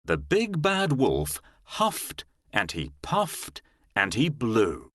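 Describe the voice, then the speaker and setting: Male children's storybook narrator: measured pace, hugely varied intonation, dry studio sound. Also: mid-range voice, wildly swooping pitch, a bit bright, dry recording. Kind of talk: scripted fairy tale narration for children.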